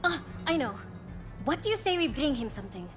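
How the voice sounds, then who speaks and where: A woman's voice: high voice, big pitch swings, a little fast. An actress playing a spirited, loyal young woman in a dramatic video game. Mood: friendly, musing. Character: spirited, warm young Japanese woman, loyal to her companions. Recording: music behind the voice.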